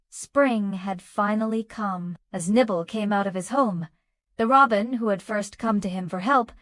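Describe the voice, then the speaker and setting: A woman's voice: medium pitch, dry recording. A woman reading scripted bedtime stories for children as a storyteller and host.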